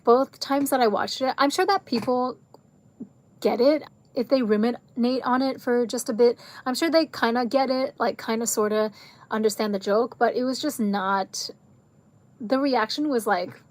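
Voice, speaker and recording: A woman's voice: on the high side, normal speed. Chatty woman losing her voice. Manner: chatty, rambling, jumps between tangents; frequent fillers like "like" and "um". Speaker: video creator reviewing a film. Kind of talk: casual chat to camera.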